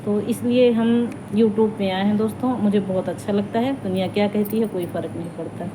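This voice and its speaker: A middle-aged woman, a folk singer on a video channel, talking quickly and chattily to her viewers, thanking them and sending them her blessings. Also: mid-range voice, steady tone, noisy background. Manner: rapid, chatty, warmly addressing fans. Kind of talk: casual talk to viewers.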